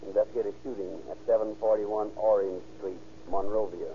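A man's voice: lowish voice, steady tone, moderate pace, close to an everyday voice. An actor playing a male police radio dispatcher, reading a bulletin in a clipped, official 1930s radio manner. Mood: brisk, official. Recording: muffled, noisy 1930s radio recording.

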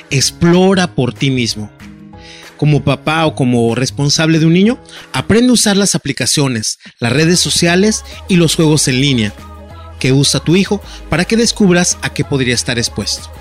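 A man's voice: fairly high pitch, expressive, normal speed, faint music behind. A man presenting firm, direct advice to parents.

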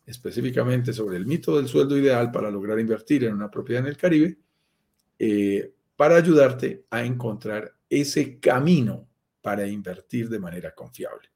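A Colombian man hosting a live stream, pitching property investment in an upbeat sales manner with frequent fillers. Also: moderate pace, creaky, darkish tone. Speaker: host, sales director of a property firm.

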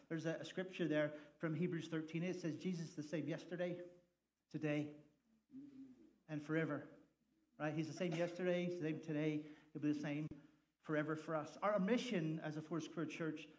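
A man's voice: a little high, fairly even intonation, a little fast. A man, a pastor, opening a church service with jokes, then speaking in a chatty, casual way. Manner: joking, chatty, loud laughing asides. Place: church sanctuary.

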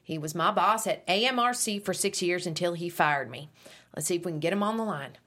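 An actress at a table read playing a determined radio host who was fired, and who talks in an earnest, pleading way. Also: female voice, on the low side, a little fast, fairly bright tone. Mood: confident.

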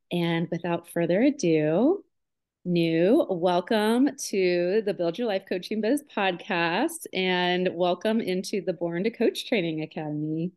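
A woman hosting a podcast interview, upbeat and enthusiastic as she introduces and questions her guest. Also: lowish voice. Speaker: podcast host.

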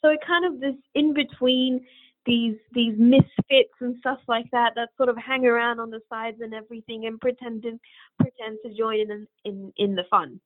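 Higher-voiced woman on a tinny line. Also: steady tone, moderate pace. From Bangladesh. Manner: earnest, reflective, thinks aloud. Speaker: guest. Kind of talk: casual interview answers. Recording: phone-like narrow line.

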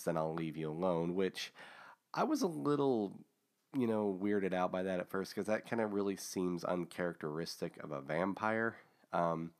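A man hosting a casual book review, talking with many fillers and restarts, his voice a little hoarse from a recent illness. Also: low-pitched. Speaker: host of a book review show.

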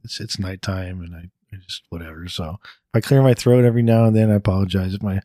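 A young man co-hosting a podcast in casual chat, speaking in a deliberate, low-key way with pauses between thoughts, a little congested and raspy from a recent illness. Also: warm tone, no echo, clean studio sound. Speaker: podcast co-host.

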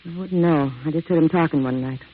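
A woman's voice: deep voice, brisk. An actress playing a cool, guarded, worldly young American woman in a 1950s radio crime drama, terse and knowing. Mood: guarded, evasive. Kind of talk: 1950s radio noir acting. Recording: thin, tinny old radio recording.

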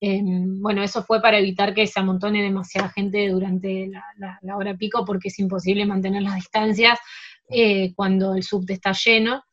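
A woman with an Argentine Spanish accent answering interview questions in a relaxed, halting way, full of fillers and restarts. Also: mid-range voice. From France. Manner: hesitant, many fillers and restarts. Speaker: table tennis player, interview guest. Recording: dull lo-fi sound.

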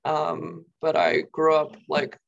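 Young New York woman, gravelly voice, rambling interviewee, slightly dull lo-fi sound. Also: low voice, steady tone, slow pace. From New York, USA. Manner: rambling, many fillers and "like". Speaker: guest poet. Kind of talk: casual interview.

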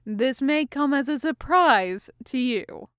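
A woman's voice: high-pitched, lively intonation, slow pace, a bit bright, clear, resonant tone, no echo, studio quality, voice pushed up, full character voice. A woman voicing a wry, worldly male dwarf who gives patient, knowing advice in a fantasy story. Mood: earnest advice.

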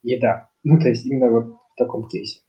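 A man's voice: medium pitch, steady tone, fairly quick, mellow. A young man from Kazakhstan leading a casual discussion, talking in a rambling way with lots of fillers and restarts. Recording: slightly dull lo-fi sound.

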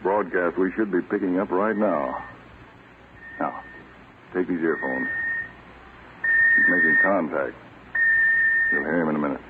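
An actor playing a calm, authoritative American counterintelligence chief in a 1940s radio drama, measured and assured. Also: male voice, deep voice. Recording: tinny, noisy old radio recording.

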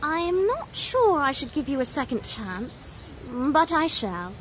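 High, animated young lady. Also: a little slow, slight background noise. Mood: guarded. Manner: proper, crisply formal, emotive. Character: prim, spirited young Victorian English lady. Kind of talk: radio drama acting.

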